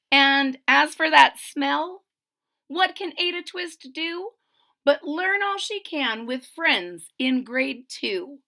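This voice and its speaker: A woman's voice: high-pitched, slow, creaky. A woman reading a rhyming children's picture book aloud, with chatty asides to her listeners. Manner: expressive, chatty asides to listeners between rhymes. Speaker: read-aloud storyteller.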